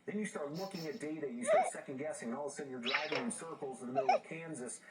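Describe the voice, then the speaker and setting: A man's voice: fairly high pitch, huge pitch swings, rapid-fire, very noisy. A middle-aged man giving conversational interview answers as an expert guest. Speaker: storm chaser and meteorologist guest. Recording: distant voice under loud music. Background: loud music with other voices mixed in.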